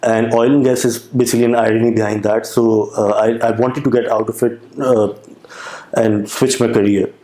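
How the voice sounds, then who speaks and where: A young man with a Pakistani accent, answering interview questions with a hesitant manner, full of pauses and restarts. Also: medium pitch, flat delivery, warm tone, echoey room. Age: young adult. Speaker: guest entrepreneur.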